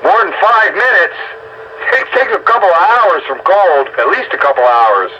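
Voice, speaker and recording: A man chatting casually over the radio, explaining things in an animated, emphatic way. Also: high-pitched, moderate pace. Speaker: amateur radio operator. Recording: dull lo-fi radio transmission, faint static.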